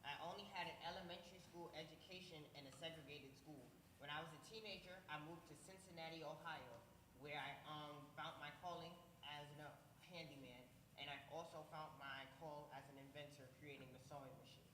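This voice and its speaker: A young performer playing a quick-talking early inventor. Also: mid-range voice, fairly even intonation, natural voice. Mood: matter-of-fact. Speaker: youth performer in a church skit. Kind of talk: stage acting in a church program. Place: church hall. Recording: echoey hall with long reverb and background noise.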